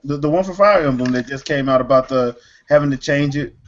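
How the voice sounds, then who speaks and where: Dude hosting a gaming podcast, normal pitch, laid back joking slang, kinda muffled lofi audio. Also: male voice, a little fast, crowd noise. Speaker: podcast host. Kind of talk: casual gaming chat.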